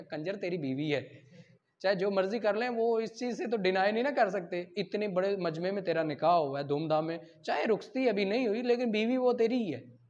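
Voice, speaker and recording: Pakistani guy teaching law class, pretty high voice, informal, drops into english for the legal bits, kinda dull lo-fi audio. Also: a little mellow. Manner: informal, switches into English to read out legal text; asks questions and answers them himself. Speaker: law lecturer. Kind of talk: classroom lecture, explaining articles point by point. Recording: dull, muffled lo-fi recording.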